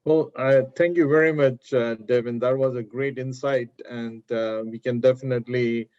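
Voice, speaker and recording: A man's voice: medium pitch, fairly even intonation, moderate pace. Hesitant male webinar host, dull audio. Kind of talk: webinar interview, business talk.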